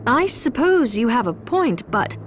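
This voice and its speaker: A woman playing a courteous, loyal, gentle ally in a video game, with polite, formal speech. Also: a little high, big pitch swings, unhurried, music in the background. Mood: apologetic. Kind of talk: video game character acting.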